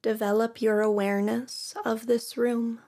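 A woman teaching yoga nidra, guiding a relaxation practice in a soft, soothing way with long pauses. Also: fairly high pitch, little pitch movement. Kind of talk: guided meditation.

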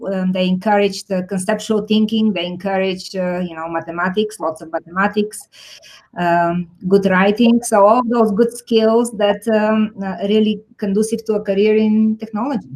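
A woman's voice: mid-range voice, steady tone, moderate pace, a little mellow, poor audio. Bulgarian-born woman, thoughtful guest. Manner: thoughtful, many fillers and restarts. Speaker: guest, tech executive. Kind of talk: interview answers.

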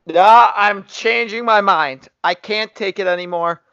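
A man's voice: high voice, a little slow, gravelly, bright, forceful tone, smooth, dry recording. A man co-hosting a podcast, chatting casually and making sports predictions. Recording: dry, muffled lo-fi podcast audio.

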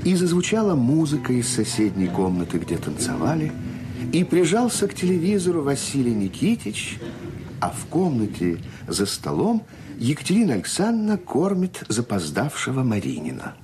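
Narrator for radio drama: lyrical male storyteller, mid-pitched, hugely varied intonation, deliberate phrasing, noisy old recording. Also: huge pitch swings. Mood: wistful. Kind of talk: scripted radio play narration.

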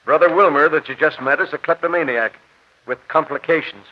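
A man's voice: a little high, a little fast, natural voice. Nervous store detective played by a man, dull recording. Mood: placating. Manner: hesitant, placating, slangy. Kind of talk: 1940s radio acting. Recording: dull, noisy old radio recording.

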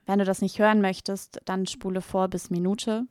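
A woman's voice: mid-range voice, flat delivery, normal speed, a little mellow, no room sound. Woman in a close, dry personal monologue. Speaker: performer in an educational audio play. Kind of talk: scripted personal monologue addressed to her younger self.

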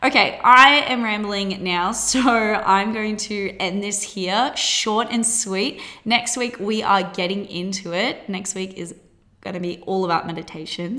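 A woman in her twenties with an Australian accent, hosting a solo podcast in a bubbly, chatty way with quick laughs. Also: mid-range voice, bright, forceful tone. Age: 20s. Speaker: podcast host.

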